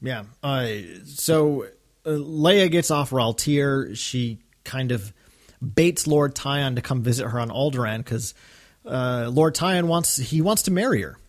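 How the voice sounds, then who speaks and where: A man co-hosting a casual discussion podcast, talking in a halting, enthusiastic fan's way. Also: medium pitch. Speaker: podcast co-host.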